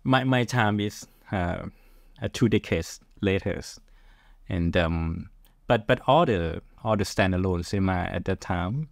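A middle-aged man speaks English with a Thai accent in a low voice. He gives casual interview answers, pausing and searching for his words. The sound is dry and close. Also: gravelly, no room sound. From Thailand. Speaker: podcast guest, film director.

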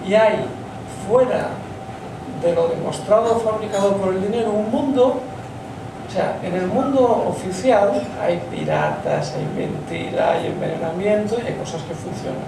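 A man's voice: sits high, sing-song, measured pace. A man in his fifties with a Spanish accent speaking up from the audience, blunt and emphatic, swearing now and then. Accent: Spain. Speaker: audience member, doctor. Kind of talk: impassioned audience comment. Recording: echoey pub from far off, noisy.

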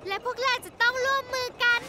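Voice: very high-pitched, fast, heavy background noise. An actor voicing an earnest, plucky child superhero in a dubbed children's cartoon, with emphatic delivery. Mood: determined. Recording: loud music behind.

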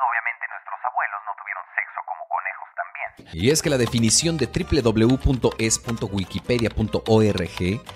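A Mexican man hosts a comedy podcast with a very animated, swinging intonation. He reads his scripted monologue in a tongue-in-cheek, mock-formal manner, over clear music. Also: medium pitch. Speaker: podcast host.

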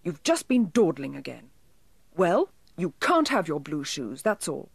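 Stern British storybook mother acted by a woman, cross, brisk, scolding, dry sound. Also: low voice, very animated, vocal fry, slightly rough, dry recording, heavily acted.

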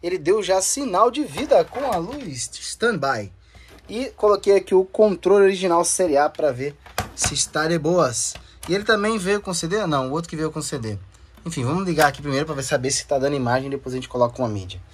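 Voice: medium pitch, lively intonation, a little fast, a little hiss or hum. A vlog host with a Brazilian accent and an informal, playful manner, casually talking viewers through hands-on testing of game consoles in slangy speech. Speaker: host of a gaming video channel.

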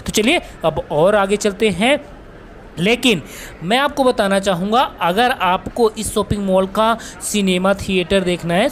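A man's voice: sits high, slight background noise. A man giving a chatty walking-tour narration as a travel vlogger, often rephrasing himself as he goes.

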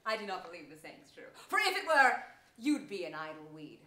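A woman's voice: on the low side, big pitch swings, fairly quick, sharp tone. A woman playing a precocious, cheeky young boy prince in a theatrical Shakespearean staged reading. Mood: teasing. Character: cheeky, precocious little boy prince, teasing his uncle. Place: theatre stage before an audience. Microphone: far camera. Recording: echoey theatre stage with noise.

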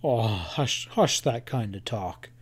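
A man voicing a kindly, awkward rancher with a folksy rural drawl, gruff and reassuring. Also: fairly high pitch, expressive, moderate pace, darkish tone, lightly acted. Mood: flustered.

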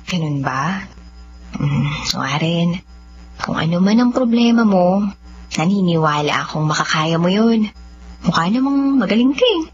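A woman's voice: fairly low pitch, animated, unhurried, pauses often, a little hiss or hum. A woman playing a tender, self-doubting young blind woman in love in a Filipino radio romance, with hesitant, emotional delivery. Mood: shy, pleased. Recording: muffled radio drama audio under music.

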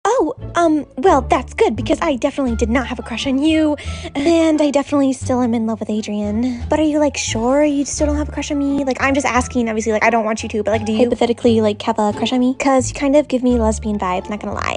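A woman's voice: sits high, quick pace, a little mellow, soft background music, natural voice. A woman voicing a sassy, insecure teenage girl who rambles, in a parody cartoon fan dub. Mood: flustered. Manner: chatty, rambling, catty.

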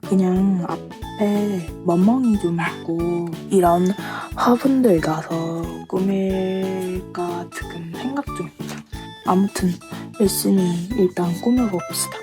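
A woman's voice: on the low side, takes it slowly, over music. Woman with a cold, chatty crafting vlog. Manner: chatty, thinks aloud, asks herself questions, soft asides. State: has a cold, voice a little rough. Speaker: stationery craft vlogger. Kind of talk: casual narration while crafting.

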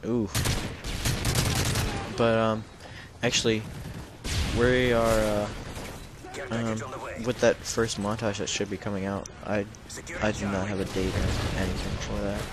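Hoarse teen gamer, low-pitched, restarts often, casual commentary over loud gunfire. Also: male voice, level tone, a little slow, noisy background. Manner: rambling, self-correcting, with frequent restarts. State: hoarse and sick. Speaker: gameplay commentator. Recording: loud gunfire from the game behind.

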